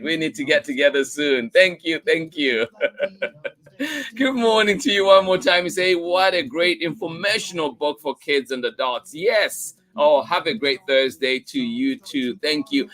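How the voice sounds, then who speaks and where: A man speaks as the host of a children's story time stream at a medium pitch. His talk is chatty and effusive, full of repeated thanks and encouragement as he addresses viewers directly. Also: a bit bright. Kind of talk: chatty livestream sign-off, greeting viewers by name.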